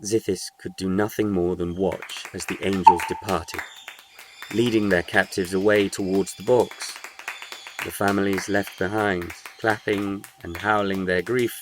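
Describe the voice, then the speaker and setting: Low-voiced man narrating a solemn story. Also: steady tone, unhurried, background noise. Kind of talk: scripted story narration for audio drama. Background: music.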